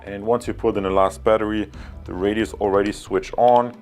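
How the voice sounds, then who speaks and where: Low-voiced man giving a casual review. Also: fairly even intonation, a little fast, darkish tone, faint music behind. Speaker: product reviewer, channel host. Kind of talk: casual unscripted review talk to viewers.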